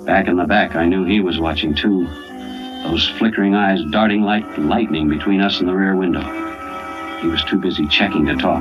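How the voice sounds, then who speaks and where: A man's voice: low voice, lightly acted. An actor playing an anxious, long-married family man held captive, telling the story in tense hardboiled old-time radio style. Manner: tense hardboiled first-person storytelling, guarded replies. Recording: muffled old radio recording with music.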